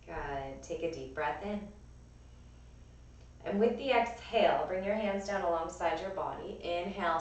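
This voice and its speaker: A woman with a raspy, strained voice gently cueing breath and movement as a yoga and pilates instructor. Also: on the low side, a little hiss or hum. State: strained voice from overuse. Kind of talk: guided class instruction. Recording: echoey room, voice from far off.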